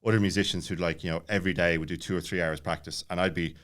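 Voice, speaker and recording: A man's voice: deep voice, fairly even intonation, rapid-fire, a bit bright. A man in his late thirties telling personal stories casually as a podcast guest, rambling with many false starts. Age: late 30s.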